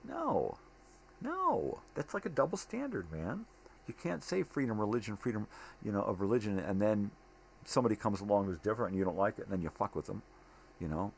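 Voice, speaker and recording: A man's voice: on the low side, big pitch swings, brisk. An older man rambling casually in a monologue, blunt, opinionated and given to swearing. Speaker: daily vlog host. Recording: clearly noisy home recording.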